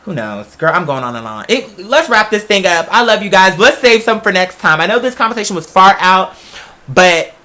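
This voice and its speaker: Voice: mid-range voice, animated, normal speed, bright, forceful tone. An online host giving a candid, rambling, casual monologue to followers in familiar, slangy language.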